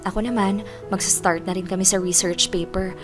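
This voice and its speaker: A woman's voice: mid-range voice, steady tone, fairly quick, natural voice. A Filipino woman playing a frank, preoccupied college girlfriend in a naturalistic audio drama. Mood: hesitant, serious. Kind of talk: naturalistic radio-style drama acting. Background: clear background music.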